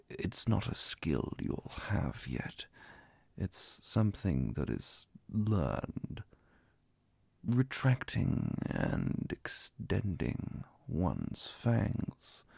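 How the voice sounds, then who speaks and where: A man voicing a calm, courteous, scholarly vampire inquisitor in a soft-spoken ASMR roleplay, breathy and gravelly, with measured, formal speech and whispers. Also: low-pitched, no room sound, full character voice.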